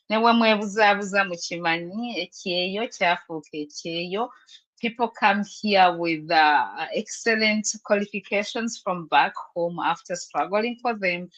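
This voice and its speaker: A woman's voice: mid-range voice, a bit bright, lo-fi sound. A Ugandan woman hosting a live video-call business chat, welcoming the viewers and speaking in a persuasive, preaching way. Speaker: host.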